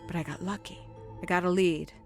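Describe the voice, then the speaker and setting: Over background music comes a low-pitched woman's voice performed by a game actress, secretive and brooding. She unspools her tale deliberately, with theatrical pauses, like a mystery game character guarding a family secret. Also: fairly even intonation, lightly acted. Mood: solemn, wistful. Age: adult, older than nineteen. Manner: measured, deliberate, theatrical storytelling with dramatic pauses. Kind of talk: video game voice acting, dramatic monologue. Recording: background music under the dialogue.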